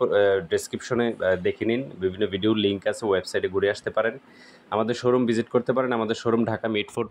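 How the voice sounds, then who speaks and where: A Bangladeshi man giving an informal product demonstration as a seller, explaining step by step and repeating key points. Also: fairly low pitch.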